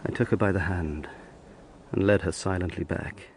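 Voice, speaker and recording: An actor playing an earnest, sensitive young lawyer in an audio drama, gentle and literary in his telling. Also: sits low, steady tone, normal speed, background noise. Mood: brooding. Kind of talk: audio drama narration and acting.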